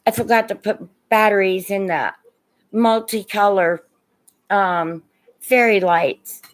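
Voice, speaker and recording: A woman's voice: medium pitch, slow pace, rough recording. A middle-aged or older woman from Indiana with a Midwestern American accent, chatting casually as she sells vintage pieces on a livestream and addresses viewers with endearments. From Indiana, United States. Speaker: live online seller of vintage and antiques. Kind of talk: casual livestream sales chat.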